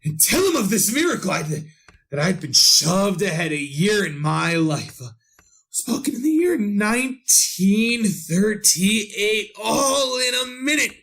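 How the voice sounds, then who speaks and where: An actor playing an excitable, power-hungry madman in a halting, melodramatic 1930s radio drama style. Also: sits high, gravelly, heavily acted. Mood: agitated, gleeful. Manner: melodramatic, halting, breathless exclamations. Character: excitable, power-hungry madman narrating his eerie tale.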